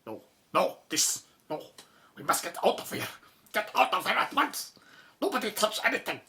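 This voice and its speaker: An actor in an audio drama playing a solemn, superstitious tomb expert who speaks in careful, formal phrases. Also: male voice, high-pitched, very animated, moderate pace, pushed and bright, heavily acted. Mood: alarmed, pleading. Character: grave, superstitious male tomb expert, knowing and fearful.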